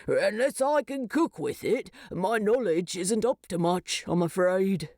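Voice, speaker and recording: A woman's voice: mid-range voice, expressive, a little slow, darkish tone, dry recording, clean studio sound. A woman voicing a kindly, modest male dwarf cook in a storybook, friendly and self-effacing.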